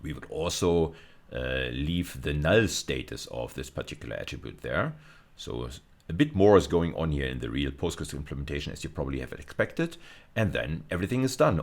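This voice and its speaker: A man giving an informal technical video lecture as a university lecturer, explaining step by step in a halting way and often rephrasing himself. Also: very deep voice.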